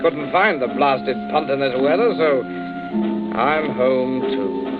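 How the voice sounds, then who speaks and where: An actor playing an insolent, bored young son of a genteel family in a radio drama, languid and mocking. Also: male voice, medium pitch, slow pace, natural voice. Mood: bored, flippant. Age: young adult. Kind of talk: 1940s radio drama acting. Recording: tinny vintage radio with loud music.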